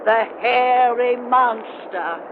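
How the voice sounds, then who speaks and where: An actress playing a gleeful, folksy ancient witch who tells horror tales in old radio style. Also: a little high. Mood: sly, eerie. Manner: folksy, ungrammatical, cackling, teasing. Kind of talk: 1930s radio horror acting. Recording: dull, noisy old radio broadcast.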